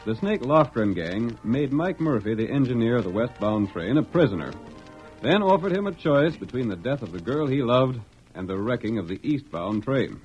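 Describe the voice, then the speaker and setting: A man's voice: mid-range voice, big pitch swings, normal speed, a little mellow, faint music behind. A man narrating an old-time radio drama as announcer, in a formal, theatrical scripted style. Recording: muffled old radio recording.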